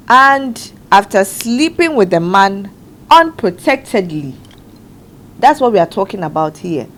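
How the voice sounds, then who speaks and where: A woman who hosts a studio panel talk show and walks the panel through events step by step. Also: medium pitch. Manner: measured, explaining step by step.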